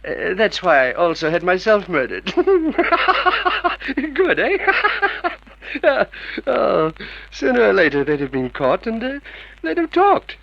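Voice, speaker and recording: A man's voice: sits high, wildly swooping pitch, slow pace, gravelly, long pauses, exaggerated voice. An actor playing a mock-courteous, sadistic male crime boss in an old-time radio drama, theatrical and giggly. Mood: gloating, amused. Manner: theatrical, giggling, mockingly polite. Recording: dull vintage radio recording.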